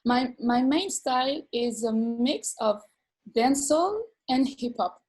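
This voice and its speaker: A woman from France, a dancer and teacher, telling her story in an interview with many pauses, fillers and repeated words. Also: sits high, animated, a little mellow, husky. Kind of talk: video call interview.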